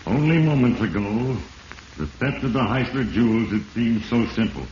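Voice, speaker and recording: An actor playing Fate, a solemn, ominous spirit who narrates a sinner's downfall with grave, deliberate, accusing address in 1940s radio mystery style. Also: male voice, medium pitch, expressive, darkish tone, lightly acted. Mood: ominous judgment. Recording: muffled echoing vintage radio recording, hissy.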